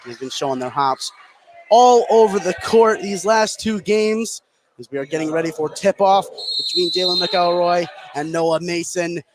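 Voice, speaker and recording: A man with a fairly high voice gives live basketball play-by-play for radio. He is courtside in a gym, with clear noise all around him. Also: normal speed. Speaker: play-by-play sports commentator. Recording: clearly noisy gym ambience.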